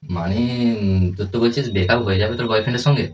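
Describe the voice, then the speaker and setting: Young man, very rapid casual talk, teasing and coaxing, lowish voice. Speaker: prank caller. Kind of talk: casual phone chat. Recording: distant mic, faint background noise.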